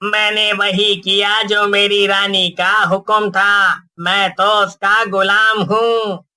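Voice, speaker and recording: Man as a high, servile ghostly parrot. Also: flat delivery, slow pace, bright, forceful tone, smooth, exaggerated voice. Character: obedient ghostly parrot, slave of a witch queen.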